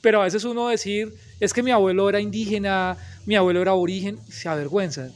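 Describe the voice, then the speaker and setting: A man's voice: sits high, steady tone, fast. A man, asking long, emphatic interview questions. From Colombia.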